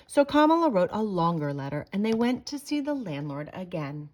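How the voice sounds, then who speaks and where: Expressive woman reading to children. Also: medium pitch. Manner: expressive, deliberate phrasing for children. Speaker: storybook reader. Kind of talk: children's read-aloud narration.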